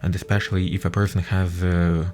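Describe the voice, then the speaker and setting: Young guy, lowish voice, halting podcast monologue, keeps searching for words. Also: level tone, darkish tone. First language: Russian. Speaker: podcast host. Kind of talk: personal reflective monologue.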